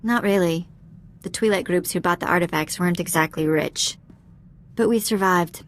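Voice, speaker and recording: A woman playing a cheeky, warm-hearted young alien ex-thief in a video game, speaking in a casual, candid, slangy way. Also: fairly low pitch, normal speed, a little hiss or hum, natural voice. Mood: candid, reflective. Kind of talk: video game dialogue acting.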